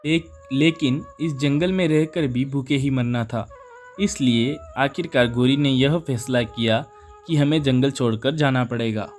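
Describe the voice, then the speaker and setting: A man's voice: medium pitch, a little slow. A man narrating a scripted children's animal story as its storyteller. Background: music.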